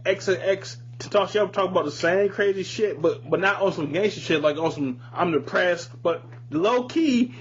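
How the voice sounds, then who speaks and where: A man's voice: high-pitched, normal speed, few pauses. A man co-hosting a podcast, chatting casually with blunt, profane opinions. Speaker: podcast co-host. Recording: dull lo-fi audio with hum and noise.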